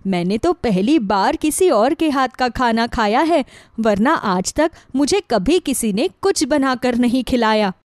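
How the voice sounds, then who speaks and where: A woman's voice: fairly high pitch, lively intonation, measured pace, dry recording, clean studio sound. A woman playing an affectionate older mother-in-law who frets about her household in a moral story. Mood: warm, approving. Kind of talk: acted dialogue in a moral story.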